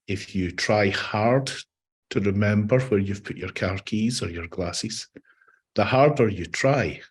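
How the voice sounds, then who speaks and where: Male meditation teacher, hoarse and husky, lowish voice, measured delivery over a video call. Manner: calm, measured explaining. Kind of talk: webinar presentation.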